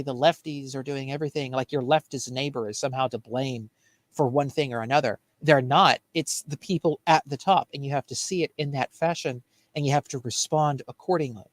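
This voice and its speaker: A man's voice: fairly high pitch, no echo. A man co-hosting a show with earnest, emphatic political commentary, often repeating phrases for emphasis. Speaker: show co-host. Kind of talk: political commentary in casual chat.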